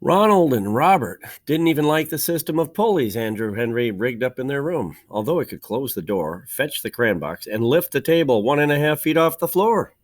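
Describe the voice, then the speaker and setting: A man narrates a children's storybook in an expressive, teacherly way. His medium-pitched voice rises and falls in a lively way, and he phrases deliberately, pausing for emphasis. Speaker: teacher reading a storybook aloud.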